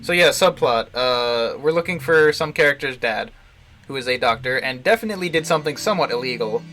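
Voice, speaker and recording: A man's voice: medium pitch, lively intonation, fairly quick, sharp tone. A man livestreaming casual commentary on a visual novel, thinking aloud in a rambling, jokey way. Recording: music behind the voice. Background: game music.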